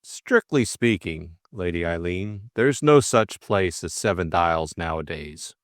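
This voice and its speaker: A man voicing a stolid middle-aged police superintendent, cautious, measured and plain-spoken, in an audiobook story. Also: low-pitched, animated, no room sound, clean studio sound, voice pushed down, heavily acted.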